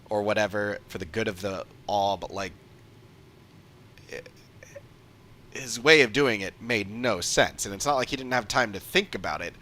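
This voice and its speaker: A man's voice: on the low side, bright, forceful tone, a little hiss or hum. A man giving casual, off-the-cuff livestream commentary as a game streamer, emphatic and repeating points for stress.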